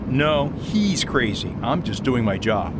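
A man's voice: mid-range voice, very animated, takes it slowly. A man playing a sarcastic, flustered junior government agent in a radio play. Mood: wry. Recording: car and road noise behind.

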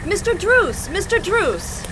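A sinister society lady in a radio play, sly and affected. Her high voice swoops through slow, drawn-out phrases, with clear traffic noise behind. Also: big pitch swings, full character voice. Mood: feigned shock. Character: sly, sinister society villainess feigning shock. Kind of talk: theatrical radio drama acting.

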